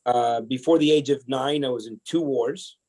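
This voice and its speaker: A man from El Salvador, a podcast guest telling his life story in a casual, energetic way, often restarting his sentences. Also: mid-range voice, moderate pace. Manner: energetic, chatty, many restarts.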